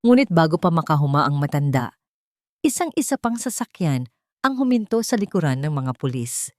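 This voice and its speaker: Low-voiced woman narrating drama. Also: sing-song, normal speed, a little mellow, no echo, clean studio sound. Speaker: audiobook narrator.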